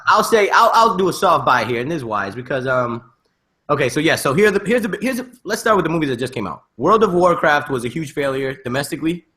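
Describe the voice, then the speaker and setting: Fast-talking man, a bit high-pitched. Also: sing-song, sharp tone. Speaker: podcast host. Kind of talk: casual opinionated panel discussion. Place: remote video call.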